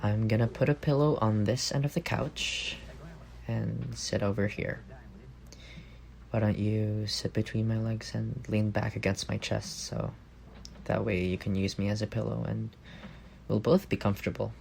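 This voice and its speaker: A man's voice: lowish voice, little pitch movement, normal speed, vocal fry, a little mellow, slight background noise. A man playing an affectionate, teasing young roommate in an intimate ASMR roleplay, speaking in a playful, tender way. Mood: tender, drowsy.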